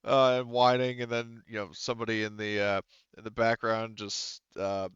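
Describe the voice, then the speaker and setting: A man with a low, smooth voice acts as game master. He narrates casually and haltingly, as if making it up on the spot. The sound is very dry and a little dull. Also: little pitch movement, fairly bright tone, no room sound. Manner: halting, conversational.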